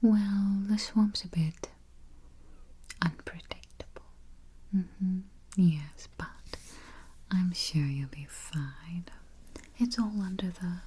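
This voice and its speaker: A woman doing a soft, breathy, mostly whispered ASMR roleplay as a politely morbid vampire travel agent, murmuring with dry understatement close to the microphone. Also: lowish voice. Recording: faint hiss, close keyboard typing and clicks. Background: typing, clicking and gentle tapping.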